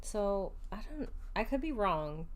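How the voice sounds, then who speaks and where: A woman's voice: mid-range voice, sing-song, slow. Giggly chatty young woman streamer. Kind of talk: casual game commentary.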